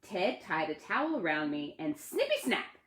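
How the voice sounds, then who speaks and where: A woman reads a picture book aloud as a children's author at storytime. Her delivery is animated and dramatic, with the pitch moving freely. The sound is roomy and echoey. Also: moderate pace, slight background noise.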